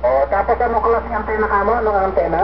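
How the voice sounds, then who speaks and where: High-voiced Filipino man over noisy radio. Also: quick pace. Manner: code-switches into English, uses radio jargon. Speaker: amateur radio operator. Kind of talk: casual radio chat. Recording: dull, very noisy amateur radio signal.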